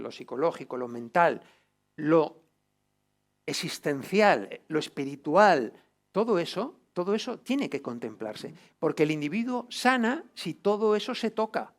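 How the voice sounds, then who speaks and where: Higher-voiced Castilian Spanish man lecturing informally. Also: lively intonation, measured pace. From Spain. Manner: colloquial, emphatic, seeks agreement with tag questions. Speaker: lecturer, doctor. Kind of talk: informal lecture to a group of health professionals.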